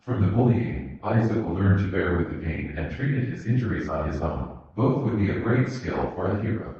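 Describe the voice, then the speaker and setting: A low-pitched man narrates a story as if for an audiobook. His voice rings with heavy room echo, and the sound is somewhat muffled. He reads from a written text as a fan fiction narrator. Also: expressive, moderate pace. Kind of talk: scripted audiobook-style narration. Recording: muffled, very echoey room recording.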